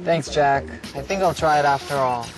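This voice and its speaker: A man's voice: on the high side, normal speed, loud music behind the voice, close to an everyday voice. A man playing a stubborn, laid-back biker in scripted promo dialogue. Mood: won over. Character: stubborn, laid-back male biker who scorns gadgets.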